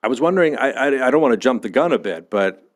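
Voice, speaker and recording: A male co-host steers a radio interview, nudging the guest with short prompting questions. His voice sits at an everyday middle pitch for a man. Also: animated, fast. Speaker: podcast co-host. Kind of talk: interview conversation.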